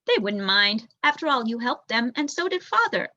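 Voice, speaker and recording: A woman's voice: a little high, very animated, moderate pace, pushed and bright, no echo, highs cut off. A woman voicing an eager, bold young boy mouse in a storybook, insistent and pleading. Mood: excited.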